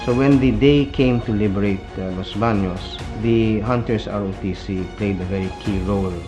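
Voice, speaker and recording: A man giving a measured, explanatory account of a wartime raid. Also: on the low side, music in the background.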